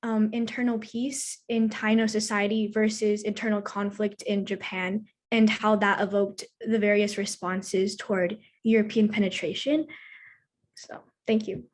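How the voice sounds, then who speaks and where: A teenage girl from Washington, D.C. introducing herself on a student panel, measured and self-correcting. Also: mid-range voice, little pitch movement. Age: teens.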